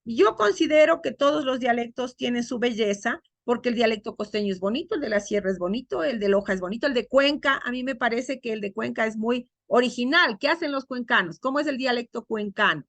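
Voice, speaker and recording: A woman teacher giving an online class over a video call, quizzing her students and drawing out key words slowly. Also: on the high side, lively intonation, a bit bright, dry recording.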